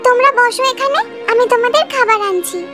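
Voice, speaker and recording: Woman as young girl, extremely high voice, earnest, caring, cartoon granddaughter, music behind. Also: fast. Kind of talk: cartoon dialogue. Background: background music.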